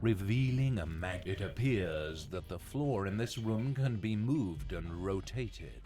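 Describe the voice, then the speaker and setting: A man playing a solemn dungeon master, giving measured scripted narration in a fantasy video game. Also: low voice, animated, dark tone, faint music behind. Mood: solemn, ominous.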